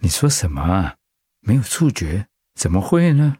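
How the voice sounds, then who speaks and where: A man playing a concerned, steady friend of a patient in a radio drama. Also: on the low side, normal speed, breathy edge, full character voice. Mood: worried.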